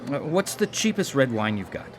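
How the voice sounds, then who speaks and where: A man's voice: mid-range voice, very animated, fairly quick, over music, natural voice. A man playing an awkward, stammering, defensive blind-dater in a radio drama.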